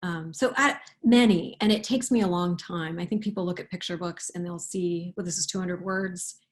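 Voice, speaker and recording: A woman speaking as an author in a casual interview, thoughtful and halting, often restarting and rewording her sentences. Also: lowish voice, steady tone. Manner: halting, thoughtful, with repeated self-corrections. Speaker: picture book author being interviewed.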